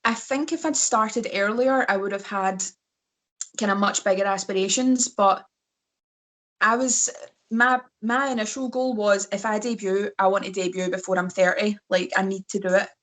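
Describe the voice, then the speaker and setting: A woman's voice: medium pitch, steady tone, moderate pace, fairly bright tone. A Scottish woman in her thirties, a wrestler chatting as a podcast guest, telling rambling self-mocking stories. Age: 30s. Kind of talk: casual podcast chat.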